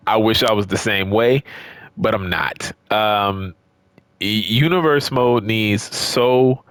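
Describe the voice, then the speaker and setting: A man's voice: fairly low pitch, slow pace, fairly bright tone, clean tone, no echo. A man giving casual, unscripted gaming commentary as a video creator, talking in a rambling, conversational, opinionated way.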